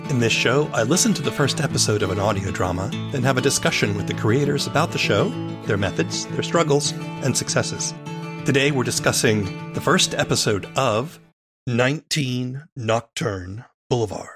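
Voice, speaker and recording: A man's voice: mid-range voice, expressive, normal speed, over music. A man hosting a podcast in a scripted, presenting style as he introduces and interviews. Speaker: podcast host.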